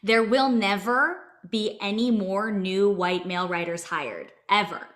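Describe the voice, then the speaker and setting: A woman's voice: medium pitch, unhurried, a bit bright, close to an everyday voice. An actress playing a curt, condescending TV writer in her thirties in a comedy table read.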